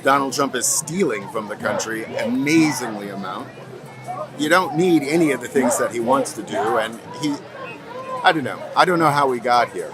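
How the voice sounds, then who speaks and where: Male American protester, halting and exasperated, medium pitch, very loud crowd behind. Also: animated, loud music behind the voice. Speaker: protester interviewee. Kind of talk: unscripted street interview. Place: outdoors at a protest.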